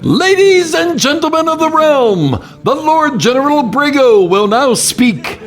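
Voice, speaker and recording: An actor playing a very serious herald making a ceremonial proclamation in an audio drama. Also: male voice, very high voice, very animated, measured pace, noisy background, heavily acted. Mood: solemn, loud. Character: very serious male herald announcing a lord.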